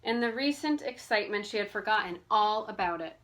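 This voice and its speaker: A woman reading a novel aloud as an amateur audiobook narrator, in an expressive storytelling style. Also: mid-range voice, a little fast.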